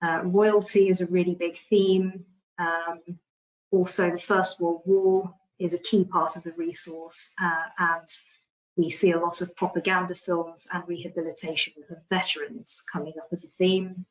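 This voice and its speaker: Female webinar presenter, lowish pitch, informal and hesitant delivery, dull online-call recording. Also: flat delivery, normal speed.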